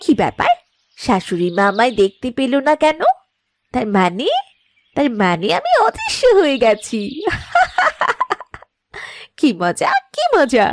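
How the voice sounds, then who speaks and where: A woman's voice: a little high, wildly swooping pitch, takes it slowly, pauses often, dry recording, clean studio sound, exaggerated voice. A woman playing a work-shy young farmer's wife in a cartoon, with a whiny, scheming, exaggerated manner. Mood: excited. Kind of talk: animated moral-story cartoon dialogue.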